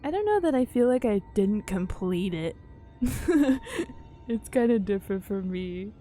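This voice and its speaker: A woman livestreamer talks casually over a game, with clear music playing behind her. Her voice is soft-edged and mellow, and she takes her time, musing informally and often letting thoughts trail away. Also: medium pitch, lively intonation, a little slow. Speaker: live game streamer.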